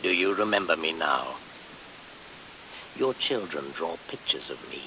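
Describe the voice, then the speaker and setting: An actor playing an ancient, solemn and menacing midwinter shaman spirit in a radio play, speaking in incantatory, breathy half-whispers with dramatic pauses. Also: very deep voice, lively intonation, bright, forceful tone, exaggerated voice, poor audio. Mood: commanding, mysterious. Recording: hissy 1970s radio recording.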